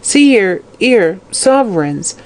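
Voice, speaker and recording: A woman voicing a dying, greedy old hag in an audiobook, shrill and harsh, wailing, pleading and cursing in terror. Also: big pitch swings, slow, a little mellow, rough recording. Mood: desperate bargaining.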